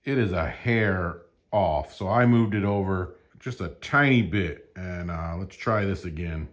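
A man's voice: deep voice, measured pace. A middle-aged man hosting a woodworking vlog, talking casually and rambling with self-deprecating humor as he works in his small garage workshop, recorded on a clip-on mic. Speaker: woodworking channel host. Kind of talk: casual how-to vlog talk.

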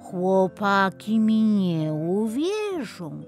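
Woman doing a shy polite little steamroller guy for a kids tale, slow n hesitant, music under it. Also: mid-range voice, sing-song, darkish tone, full character voice. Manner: hesitant, deferential, drawn-out. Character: shy, polite young male road roller. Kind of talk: children's audio storybook.

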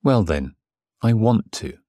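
A submissive, lovesick gentleman telling his own story in an audio drama. His voice is low and husky, earnest and measured, recorded very dry. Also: very animated, no echo, full character voice. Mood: earnest, yielding. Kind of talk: dramatised first-person audiobook narration.

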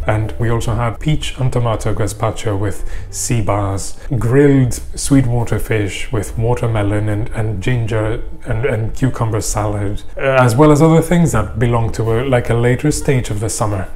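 A young man talking to camera as a vlogger, sharing a personal experience in a measured, articulate and gently emphatic way. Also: mid-range voice. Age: young adult. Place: small indoor room or office. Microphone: clip-on lapel mic.

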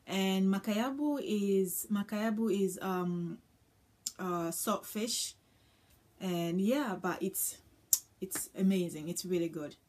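A woman's voice: medium pitch, slow, a little mellow. A young woman from Congo, chatting casually to camera with many ums and uhs. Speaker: vlogger. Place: small indoor room with floral curtain.

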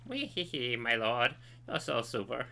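A man voicing a fawning old witch minion in a game, high and cackling. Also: animated, unhurried, vocal fry, sharp tone, exaggerated voice.

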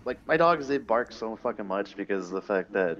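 A young man with a low voice rambles through casual gamer chat. He complains and swears freely, over dull, lo-fi voice-chat audio. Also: expressive, fairly quick, fairly bright tone. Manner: profane, griping, rambling. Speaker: gamer telling stories while playing. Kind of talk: casual chat during online gameplay.

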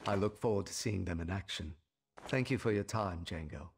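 A man's voice: sits low, normal speed, creaky, a little hiss or hum. A man playing a composed, polite Jedi knight in a video game, with a courteous, authoritative manner. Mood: polite, guarded. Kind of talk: video game cutscene acting.

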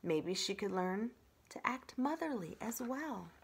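A middle-aged woman reading a children's story aloud in an expressive storytelling style. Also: medium pitch, measured pace, gravelly, slightly rough. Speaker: children's library story reader.

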